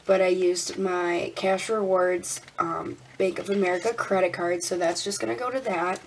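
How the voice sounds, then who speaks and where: Young woman, slightly raspy, chatty, counting bills aloud, faint background noise. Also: on the low side, steady tone, normal speed, rough recording. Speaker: budgeting vlogger. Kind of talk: casual talk while counting cash. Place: indoors at a desk.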